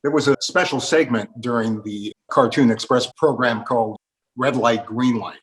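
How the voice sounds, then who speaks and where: A man's voice: mid-range voice, moderate pace, poor audio. A man hosting a panel interview, courteously prompting guests with questions. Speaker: interview host.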